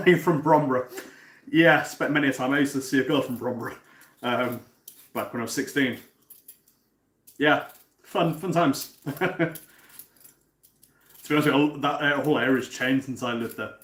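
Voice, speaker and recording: Casual cooking-stream host, a man in his thirties from North Wales, chatty with lots of restarts, mid-pitched, echoey kitchen sound. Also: a little slow, pauses often, a bit bright. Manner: chatty, frequent word repeats and restarts, swears casually. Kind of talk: casual live-stream chat, answering viewers. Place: home kitchen.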